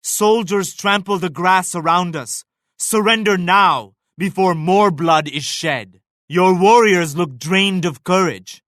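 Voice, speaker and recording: Proud pious emperor, video game character, slightly high male pitch, grave measured declamation, dry close sound. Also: a bit bright, dry recording. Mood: stern warning. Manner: grave, measured, lofty pronouncements. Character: proud, pious Mughal emperor, solemn and righteous.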